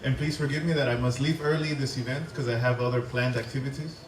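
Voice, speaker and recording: A man's voice: mid-range voice, fairly even intonation, quick pace. A man interpreting a formal greeting speech phrase by phrase. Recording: echoey, distant mic, crowd noise.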